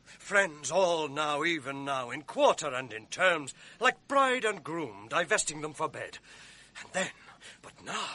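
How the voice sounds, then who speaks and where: An actor playing a scheming, cunning ensign who feigns honesty in a radio drama, smooth and insinuating. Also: male voice, on the high side, pushed and bright, exaggerated voice. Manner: smooth, insinuating, urgent when raising alarm. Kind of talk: 1980s radio drama acting.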